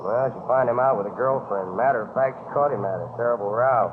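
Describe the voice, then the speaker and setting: An American man acting as a plain-spoken shop owner in a vintage radio drama, answering in halting, folksy, clipped phrases. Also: medium pitch, close to an everyday voice. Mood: hesitant, gossiping. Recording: dull, noisy old radio recording.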